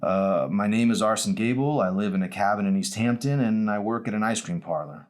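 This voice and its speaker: A man's voice: on the low side, a little fast, voice pushed up, close to an everyday voice. A man voicing a sullen but polite teenage boy in an audiobook, in a guarded, plain-spoken manner.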